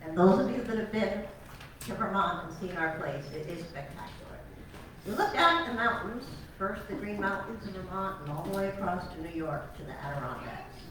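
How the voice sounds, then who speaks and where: An older woman tells her story to a meeting crowd in a chatty, heartfelt, self-deprecating way. She is far from the mic in a very echoey hall. Room noise comes through clearly behind her. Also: on the low side, expressive, brisk, a bit bright. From born in Alabama, raised on Long Island. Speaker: cattle farmer, retired teacher. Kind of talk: personal storytelling to an audience.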